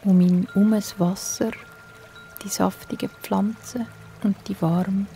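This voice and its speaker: A woman with a Swiss German accent, telling a bedtime story in a soft, hushed, soothing way. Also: medium pitch, steady tone, a little slow, creaky, faint music behind.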